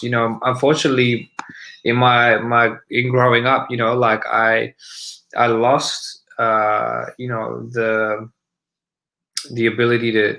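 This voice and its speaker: A young Australian-accented man, raised speaking Punjabi, reflects in a relaxed interview. His voice sits low but comes across bright and forward. He keeps a very flat, even melody while he rambles through his thoughts. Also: creaky, poor audio. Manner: reflective, rambling with frequent "you know" and restarts. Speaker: guest musician. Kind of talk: casual interview chat.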